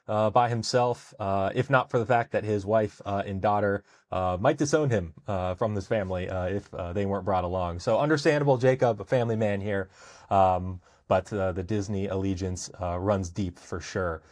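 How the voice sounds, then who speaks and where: A man's voice: fairly low pitch, normal speed, a little mellow. An American man hosting a podcast, talking casually about sports in a conversational way. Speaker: podcast host.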